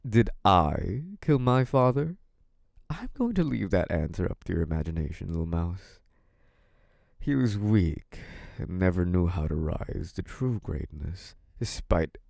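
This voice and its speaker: An actor playing a possessive, scheming young mafia boss in a theatrical, condescending, menacing monologue. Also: male voice, sits low, very animated, creaky, no echo, clean studio sound, heavily acted. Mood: smug, sinister. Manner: menacing, condescending, theatrically drawn-out delivery with dark chuckles. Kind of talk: theatrical scripted audio roleplay monologue.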